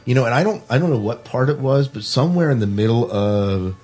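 A man's voice: medium pitch, lively intonation, a little fast, darkish tone, slightly rough. A man hosting a casual film discussion podcast, thinking aloud and often restarting his sentences. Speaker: podcast host.

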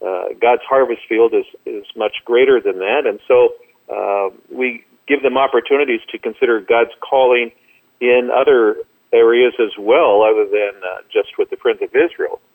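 A man of medium pitch gives interview answers as a ministry guest. He explains in a measured way, and his voice comes through a narrow, tinny telephone connection. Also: steady tone. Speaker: ministry director, radio guest. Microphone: telephone call. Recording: tinny narrow-band phone line.

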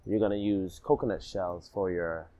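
A man from Alabama who grew up partly in Jamaica, telling his story as a podcast guest in a reflective, animated way. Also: sits low, normal speed. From Alabama, raised partly in Jamaica. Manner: reflective, animated, rhythmic repetition. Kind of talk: casual storytelling in a podcast interview.

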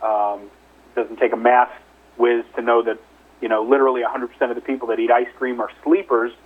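A man's voice: medium pitch, little pitch movement, brisk, slightly rough. A male chief executive answering questions on an investor call over the telephone, explaining things in a conversational, hedging way. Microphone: telephone conference call. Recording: narrow, muffled telephone line.

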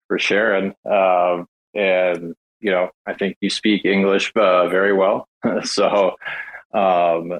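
A man hosting a casual online audio chat, talking haltingly with many fillers and restarts. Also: deep voice, poor audio. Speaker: host.

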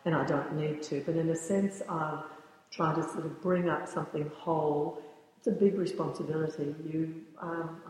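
A woman in her sixties, a yoga teacher, answering interview questions thoughtfully and often pausing to search for words. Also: low-pitched, fairly even intonation, darkish tone. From born in the United States, raised in the Bahamas. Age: 60s. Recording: very echoey room with long reverb.

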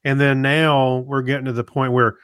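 A man's voice: mid-range voice, level tone, normal speed, a bit bright, clean tone, no room sound, studio quality. A man talking informally as a podcast co-host. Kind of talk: casual conversational chat.

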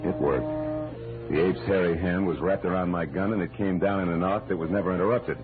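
A man's voice: sits low, fairly even intonation, brisk, close to an everyday voice. An American man playing a tough, wisecracking private detective in an old radio drama, clipped and sardonic. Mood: wry, tense narration. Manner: hard-boiled, clipped, sardonic. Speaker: lead actor and narrator. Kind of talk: 1940s-50s radio detective acting. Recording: muffled old radio recording with music.

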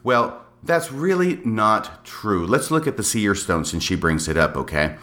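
Low-voiced man making emphatic points. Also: big pitch swings, unhurried, a bit bright. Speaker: podcast co-host giving commentary. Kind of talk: conversational argumentative commentary.

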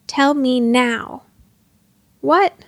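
A woman's voice: high voice, very slow, dry recording, exaggerated voice. A woman voicing a defiant, impassioned girl in a storybook, by turns furious and pleading. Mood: demanding.

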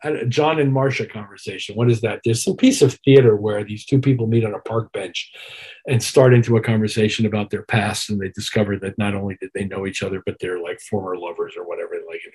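A man's voice: lowish voice, steady tone, quick pace, darkish tone, breathy edge, rough recording. A middle-aged or older man, a musician being interviewed, telling detailed, reflective anecdotes in a casual way and acting out bits of remembered dialogue. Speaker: musician and producer, interview guest.

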